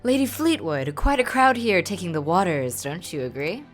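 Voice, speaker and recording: A woman voicing a courteous, smitten gentleman lord from a game, with formal, courtly phrasing. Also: fairly low pitch, sing-song, moderate pace, soft background music. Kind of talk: reading game dialogue aloud.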